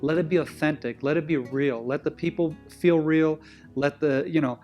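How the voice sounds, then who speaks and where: A man's voice: on the high side, fairly even intonation, normal speed, a little mellow, faint music behind. A man from Israel with an Israeli accent, whose first language is Hebrew, appears as a guest and tells stories in a rambling way, often stopping to correct himself. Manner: rambling, self-correcting, with frequent restarts. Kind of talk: conversational interview storytelling.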